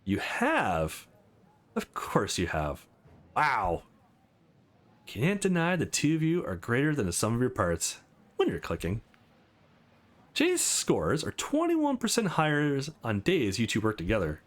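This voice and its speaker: A man's voice: medium pitch, wildly swooping pitch, unhurried, long pauses. A man voicing a confident, sardonic businesswoman with a teasing, probing manner in a visual novel. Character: sardonic, confident female business boss on a dinner date.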